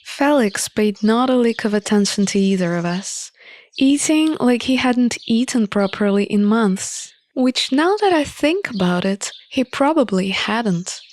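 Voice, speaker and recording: A woman reading a visual novel aloud as an expressive narrator of a playthrough. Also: mid-range voice, a little hiss or hum.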